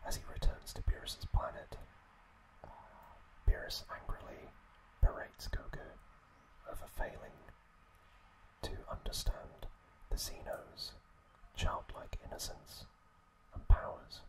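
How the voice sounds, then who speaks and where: A man whispering breathily in a halting, gentle ASMR storytelling recap, close to the microphone. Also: poor audio. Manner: slow, halting phrases with pauses. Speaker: ASMR host.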